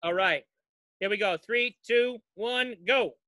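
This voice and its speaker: A man hosts a podcast in a casual, playful way. His voice is high and very bright, with a dry, close sound from a home setup. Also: takes it slowly, no echo. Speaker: podcast host. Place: home basement.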